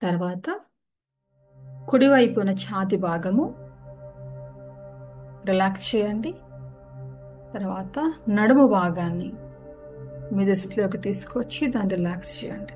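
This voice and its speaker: Gentle woman guiding meditation over music. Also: mid-range voice, lively intonation. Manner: gentle, unhurried step-by-step instructions. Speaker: yoga nidra guide. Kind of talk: guided relaxation meditation instruction. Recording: music behind the voice. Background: background music.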